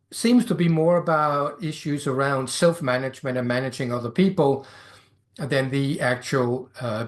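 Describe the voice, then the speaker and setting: A middle-aged man with a Danish accent talks alone on his podcast about coaching, in a voice of ordinary, middle pitch. His English is fluent but not his first language. He often pauses, restarts phrases and fills gaps with "um". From Denmark. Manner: hesitant, many fillers and restarts. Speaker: podcast host and life coach. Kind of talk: solo podcast monologue.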